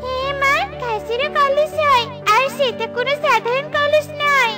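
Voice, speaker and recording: A woman's voice: very high voice, fairly even intonation, measured pace, over music. A woman voicing a sweet young female bird in a children's cartoon, earnest and girlish.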